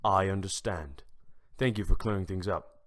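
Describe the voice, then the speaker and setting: A man playing a calm, formal judge with a measured delivery in an audio drama. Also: deep voice, close to an everyday voice. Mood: calm, understanding. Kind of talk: fan audio drama acting.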